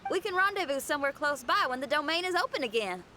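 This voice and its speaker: An upbeat, tenacious young rocker girl from a video game. The voice is high and bright with lively swings, folksy and energetic. Faint music plays behind. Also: fast, a little hiss or hum, full character voice. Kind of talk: video game cutscene dialogue.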